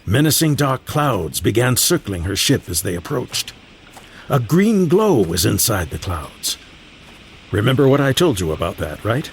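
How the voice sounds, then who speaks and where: Proud, teasing old grandfather, creaky and animated fantasy storyteller over background ambience. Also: mid-range voice, a little slow. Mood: grave, dramatic. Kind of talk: fantasy audio drama acting. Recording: clear background ambience noise.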